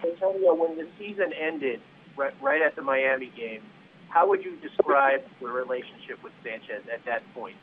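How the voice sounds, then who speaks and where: A man's voice: medium pitch, normal speed. A male sports reporter on a conference call, pressing with follow-up questions. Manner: persistent, presses follow-ups. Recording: phone line with faint hiss.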